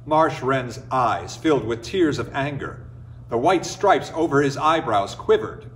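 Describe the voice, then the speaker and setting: A man reading a folktale as a storyteller, with expressive, dramatic and measured phrasing. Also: medium pitch, far from the mic. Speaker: storyteller, reader. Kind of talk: expressive scripted folktale narration.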